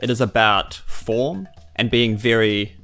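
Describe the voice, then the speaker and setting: A woman's voice: very deep voice, measured pace, fairly bright tone, soft background music. A woman with a New Zealand accent chatting and joking casually as a tabletop game player.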